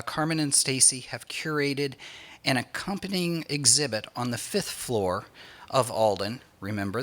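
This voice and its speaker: A man in his fifties with an American accent, reading a measured, formal introduction as host into a stand microphone on an indoor stage. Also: mid-range voice, bright, forceful tone. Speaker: event host introducing speakers. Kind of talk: scripted formal introduction at a public talk. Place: indoor stage at a library event.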